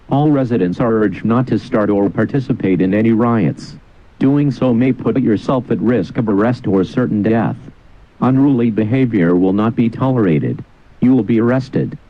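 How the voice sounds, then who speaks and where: Low-voiced male emergency announcer, muffled sound. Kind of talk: scripted emergency broadcast announcement. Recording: dull lo-fi broadcast audio.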